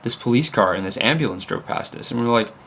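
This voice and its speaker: A man's voice: medium pitch, lively intonation, fast, a bit bright, a little hiss or hum. A young man with a mixed American and Leeds accent, chatting to a webcam at his computer. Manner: rambling, self-interrupting, with fillers. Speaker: vlogger. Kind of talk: casual chat to camera. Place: home room at a computer desk.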